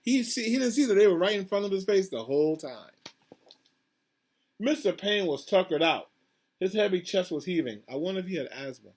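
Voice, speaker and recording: Casting: male principal doing a children's read-aloud, slightly raised pitch, conversational asides, questions to the listeners. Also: expressive, a little fast, darkish tone. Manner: addresses children directly, asks questions, repeats himself. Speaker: school principal reading aloud.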